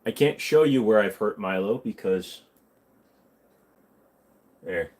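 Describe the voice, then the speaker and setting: A man's voice: on the low side, animated, slow, darkish tone. A man hosting a casual livestream, answering viewer questions with crude, rambling jokes.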